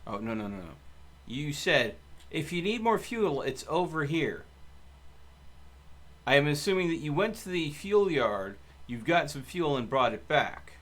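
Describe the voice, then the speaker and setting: A man's voice: fairly high pitch, sing-song, unhurried, a bit bright. A man streaming a video game and bantering casually with a co-player in a wordy, argumentative, exasperated way.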